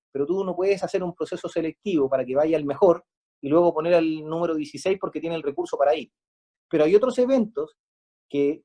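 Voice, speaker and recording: Male interviewee, Chilean accent, high-set but soft-toned voice, methodical explainer in the manner of a sports official. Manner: methodical, explaining step by step with examples. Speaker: sports federation manager being interviewed.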